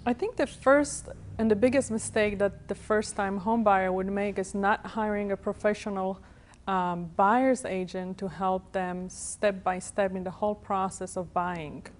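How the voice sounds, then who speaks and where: A woman's voice: medium pitch, steady tone, a little slow, a little hiss or hum. A woman in her thirties from Poland, with a Polish accent, answering interview questions as a real estate professional. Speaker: guest, real estate broker. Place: TV studio.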